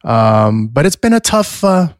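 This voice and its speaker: A man's voice: mid-range voice, very animated, measured pace, dry recording, clean studio sound. A middle-aged man with a scratchy voice, co-hosting a podcast in casual chat and rambling through fillers and restarts. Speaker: podcast co-host.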